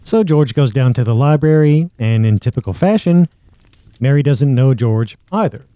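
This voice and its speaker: A male podcast host recaps a story conversationally. His voice is very dark and mellow at an average pitch, recorded dry and close. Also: animated, moderate pace, no echo.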